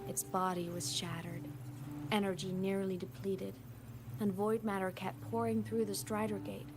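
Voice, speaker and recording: A woman's voice: mid-range voice, level tone, moderate pace, lightly acted. Woman acting young video game heroine, theatrical, intense, mid-range voice, over prominent music. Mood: brooding, dramatic. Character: young heroine, vengeful toward her foe, wounded bravado.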